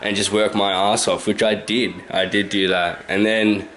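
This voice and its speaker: A man's voice: fairly low pitch, level tone, moderate pace, a bit bright. A man in his twenties with an Australian accent, telling a casual, rambling story to his handheld camcorder at home, correcting himself and chuckling often. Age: 20s. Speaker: vlogger. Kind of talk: casual story-time monologue. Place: his own house, indoors.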